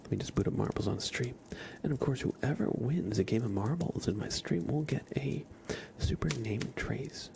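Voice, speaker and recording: A man hosting an ASMR livestream, chatting casually with viewers in a soft, breathy half-whisper, sometimes drawing words out and repeating them. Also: medium pitch, animated, moderate pace, noisy background. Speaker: livestream host.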